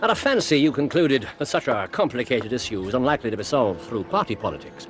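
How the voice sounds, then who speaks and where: An actor playing a keen, self-assured Victorian detective with an English accent and a precise, theatrical manner in a radio drama. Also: male voice, mid-range voice, big pitch swings, fast talker, soft background music, close to an everyday voice. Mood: teasing, assured. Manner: precise, theatrical, teasing deductions.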